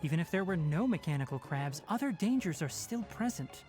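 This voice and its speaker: Young male fox-eared game ranger, played by an actor: precise, instructive, expressive intonation, light music underneath. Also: medium pitch, close to an everyday voice. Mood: matter-of-fact. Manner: matter-of-fact, measured, instructive. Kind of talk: video game voice acting.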